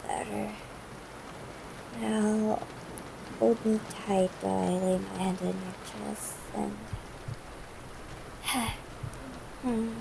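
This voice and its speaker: A woman playing a tender, yearning, gently commanding CEO ex-girlfriend in an intimate ASMR roleplay, soft-spoken and formal in wording, with hesitations. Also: medium pitch, gravelly. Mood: tender, relieved. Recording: noisy broken-microphone home recording.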